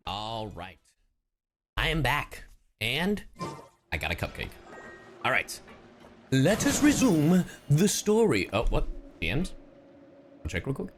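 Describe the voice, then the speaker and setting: A man live streaming a game, chatting casually in a slangy, sweary, expressive and excitable way. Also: mid-range voice, takes it slowly, long pauses, faint music behind. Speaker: livestreamer.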